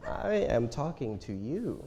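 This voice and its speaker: A man's voice: medium pitch, sing-song, slow pace, dark tone, husky, heavily acted. A man playing a smug, entitled, predatory company chief in a staged play reading, recorded with heavy noise. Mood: pressuring. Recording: very noisy recording with clicks.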